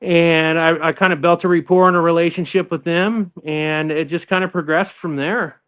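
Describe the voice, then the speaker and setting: Middle-aged guest guitarist, a man from Northern California, smooth voice, a bit high, slightly lo-fi recording. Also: steady tone, normal speed. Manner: easygoing, rambling with many fillers and restarts. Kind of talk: casual interview chat.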